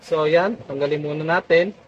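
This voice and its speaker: A man's voice: on the high side, normal speed, a little hiss or hum. A man with a Filipino accent narrating a casual hands-on how-to as a tutorial vlogger, often addressing his viewers directly. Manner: repeatedly addresses viewers as guys. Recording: dull lo-fi audio.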